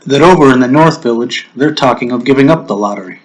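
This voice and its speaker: A man's voice: mid-range voice, quick pace, natural voice, rough recording. A man voicing a mild village man, tentative and conversational, in a dramatic story reading.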